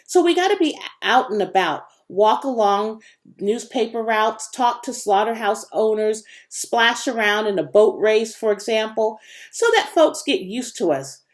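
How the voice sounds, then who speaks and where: A woman's voice: fairly high pitch, animated, a little slow. A woman voicing a laid-back, folksy, wisecracking boy in a children's storybook reading. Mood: breezy, scheming.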